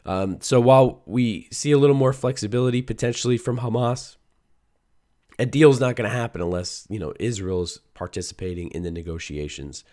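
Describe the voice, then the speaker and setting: A man hosting a news show, talking through the day's stories in a conversational way with a hoarse, worn-out voice. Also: fairly low pitch, a little mellow. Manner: conversational, reads quotes aloud, some restarts. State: hoarse, voice a little shot. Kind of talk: solo news commentary.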